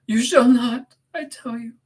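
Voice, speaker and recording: A man's voice: very high-pitched, fairly even intonation, unhurried, pitched above the performer's own voice. A man voicing a passionate, hysterical dying young woman from a classic novel, pleading and crying out. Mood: desperate defiance. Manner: sobbing, shrieking, pleading. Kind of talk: dramatic audiobook character reading.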